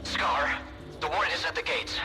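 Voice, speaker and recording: A blunt, defiant fighter from a video game played by a man, slightly high in pitch. He reports urgently, as over a radio. Clear noise and echo surround the voice. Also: unhurried, close to an everyday voice. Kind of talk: video game cutscene acting.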